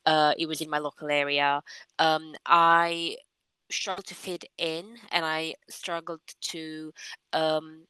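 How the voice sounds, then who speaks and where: Woman, from Romania, native Romanian speaker, young adult, low-pitched, bright and forceful tone, thoughtful, measured interview guest. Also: little pitch movement, clear, resonant tone, no echo, poor audio. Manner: thoughtful, measured, with frequent ums and restarts. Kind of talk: reflective interview answers.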